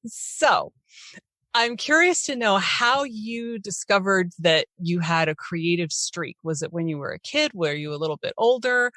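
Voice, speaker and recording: A woman's voice: lowish voice, animated, a little slow, bright, forceful tone, no room sound. A woman hosting a podcast, chatting casually as she interviews a guest.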